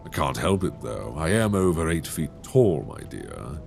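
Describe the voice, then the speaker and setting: A man voicing a gravelly ancient dragon. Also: a bass voice, big pitch swings, a little mellow, faint music behind, heavily acted. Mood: seductive. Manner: slow, intimate, coaxing and menacing. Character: ancient possessive male dragon, towering, seductive. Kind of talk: ASMR roleplay. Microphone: binaural mic.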